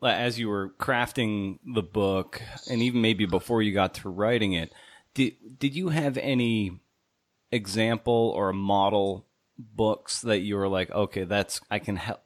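A man's voice: fairly low pitch, measured pace, no room sound, studio quality. A man hosting a casual podcast interview, informally feeling his way through his questions. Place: home office.

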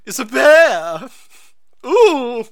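A man voicing a pompous, posh upper-crust English gentleman with theatrical, drawn-out exclamations. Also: extremely high pitch, very animated, pitched above the performer's own voice, full character voice. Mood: pompous, mock-scared.

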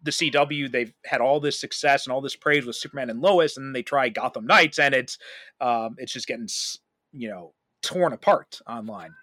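A man's voice: mid-range voice, normal speed, bright, forceful tone, no room sound, studio quality. A man hosting a podcast, talking casually in a pop-culture discussion. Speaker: podcast host.

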